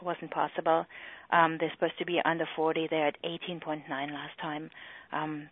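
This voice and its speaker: A middle-aged woman with a German accent, speaking earnestly on a recorded group call and often pausing with fillers. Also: low voice, dry recording. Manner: earnest, halting with many fillers. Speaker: EFT practitioner and trainer. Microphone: phone or call line. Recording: tinny phone-line call recording.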